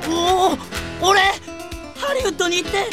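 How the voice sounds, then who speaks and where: A woman's voice: very high-pitched, lively intonation, normal speed. A woman voicing a naive, earnest young male underling in a comic audio drama, speaking hesitantly in polite boyish slang. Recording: music clearly behind the voice.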